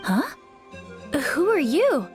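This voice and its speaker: Excitable, high-voiced young warrior girl. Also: very slow, over music, heavily acted. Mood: unsure, self-doubting. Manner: earnest, excitable. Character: eager, insecure young female warrior in flight training. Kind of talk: video game voice acting.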